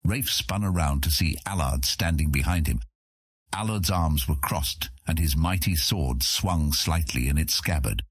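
A man narrating a scripted fantasy audiobook as a measured, expressive storyteller. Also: a bass voice, breathy edge, dry recording.

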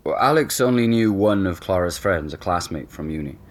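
An actor playing an easygoing young boyfriend being interviewed at home, casual and hesitant in a naturalistic audio drama. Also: male voice, deep voice, animated, fairly quick, a little hiss or hum. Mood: candid, puzzled.

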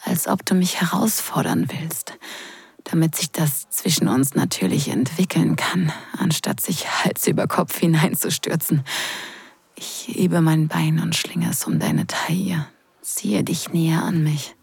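A woman acting in an intimate audio drama as a teasing wedding guest who is drawn in, breathy and hushed. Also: low voice, no echo, in a whisper, exaggerated voice. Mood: sensual, breathy. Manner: breathy, hushed, slow and sensual delivery.

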